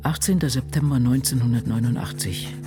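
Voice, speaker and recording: A man playing a brooding, anguished novelist in a radio drama, speaking diary entries in a measured, introspective and intimate way. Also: medium pitch, over loud music, lightly acted. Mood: brooding, bitter.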